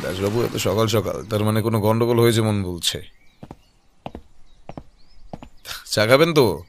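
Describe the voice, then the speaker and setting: A man playing a calm, sharp-eyed private detective in an audio drama, speaking in a composed, conversational way. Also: lowish voice, brisk, soft background music, close to an everyday voice. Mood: observant. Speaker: voice actor in an audio story.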